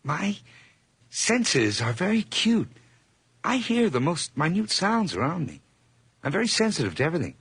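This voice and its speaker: A man's voice: a little high, very animated, moderate pace, pauses often, fairly bright tone, husky, no echo, full character voice. An actor playing a nervous, overly eager hired man who stammers through forced cheer in a radio drama. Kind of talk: 1980s radio drama acting.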